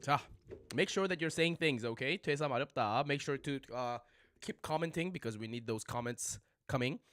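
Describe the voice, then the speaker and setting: A man's voice: medium pitch, fast, fairly bright tone, dry recording. A man teaching a casual livestream lesson, chatting with viewers and repeating phrases for learners. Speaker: Korean language teacher.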